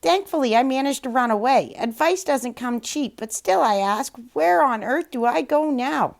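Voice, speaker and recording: Woman voicing a plaintive old storybook cat, higher-pitched. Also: lively intonation, moderate pace, gravelly. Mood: plaintive, wry. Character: aging female cat, weary and wry schemer.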